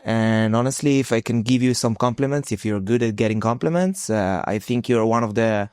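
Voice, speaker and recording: A man's voice: mid-range voice, a little fast, a little mellow, clear, resonant tone, no room sound, studio quality. A man hosting a podcast, chatting in a friendly, effusive way that is full of likes and you-knows. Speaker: podcast host. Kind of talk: casual podcast interview.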